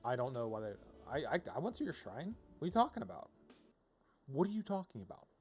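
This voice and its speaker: A man's voice: medium pitch, sing-song, fast talker, darkish tone. A man giving casual, rambling live commentary as he streams a video game, often repeating phrases as he riffs. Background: game music.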